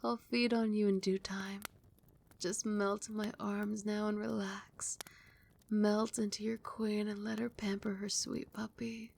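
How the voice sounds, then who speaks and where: A doting vampire queen, played by a woman, murmurs in an ASMR roleplay. Her voice is soft and breathy and often slips into a whisper. She is soothing and possessive, regal yet tender, with a mid-pitched register. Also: level tone, a little slow, slight background noise. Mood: soothing, protective.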